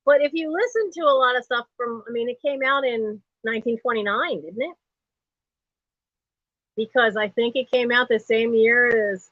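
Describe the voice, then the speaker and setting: A middle-aged woman with a low speaking voice, hosting a live online sale from home in a rambling, chatty way that is full of laughs. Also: sharp tone, no room sound. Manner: chatty and digressive, laughs often. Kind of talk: rambling casual live-stream chat. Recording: dull lo-fi stream audio.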